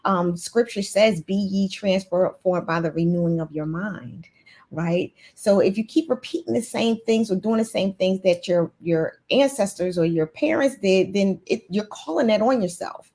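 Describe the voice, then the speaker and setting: A woman guest with a husky, slightly breathy voice. She talks in an earnest, motivational way at a middle pitch. She often restarts her words mid-thought. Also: moderate pace, darkish tone. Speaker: guest, nurse CEO. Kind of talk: casual interview chat.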